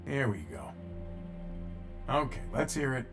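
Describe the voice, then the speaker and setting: An actor playing a dry, determined outlaw pilot hero in a video game, laconic and understated. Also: male voice, mid-range voice, sing-song, measured pace, over music. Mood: wry. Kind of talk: video game cutscene acting.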